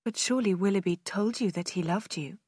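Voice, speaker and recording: A sensible, kind sister, played by a female narrator with a mid-pitched voice. She is restrained, earnest and gently reasoning, as in an audiobook of a classic novel. The sound is dry and close. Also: brisk, husky, dry recording, close to an everyday voice. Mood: questioning, reproving. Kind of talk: audiobook character acting in a simplified classic novel.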